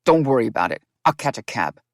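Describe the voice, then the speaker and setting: Woman doing a bitter angry man, lowered, harsh and loud, gravelly, dry. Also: very animated, fast, no room sound, full character voice. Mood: cold fury. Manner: spitting, contemptuous, harsh. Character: angry, bitter man refusing to believe his wife.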